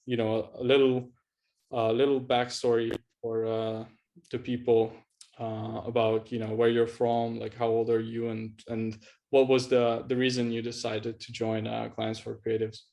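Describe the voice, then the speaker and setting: A man hosting a casual online interview, speaking haltingly with repeated words and lots of "you know". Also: mid-range voice, monotone, gravelly, darkish tone. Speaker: host, agency coach interviewing a student.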